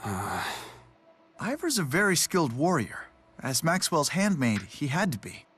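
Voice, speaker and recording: A man's voice: a little high, wildly swooping pitch, a little slow, fairly bright tone, husky, soft background music, full character voice. A man playing a mild, awkward young man in a video game, speaking hesitantly and apologetically. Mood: rueful.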